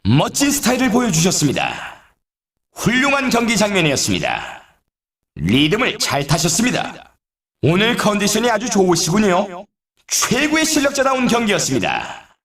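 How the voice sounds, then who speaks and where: An actor playing an excitable, teasing male snowboard race announcer in a video game, with polite, formal phrasing and playful sarcasm. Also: high-pitched, moderate pace, echoey room, distant mic, exaggerated voice. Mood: encouraging. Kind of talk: scripted game sports commentary.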